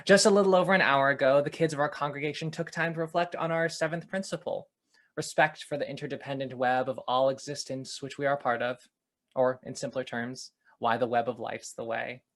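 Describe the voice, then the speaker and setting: An upbeat man introduces a children's segment and explains ideas plainly for families. His voice sits at an ordinary pitch, cheerful and clear in its pacing. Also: fairly even intonation, a little fast, a bit bright. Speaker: religious education director.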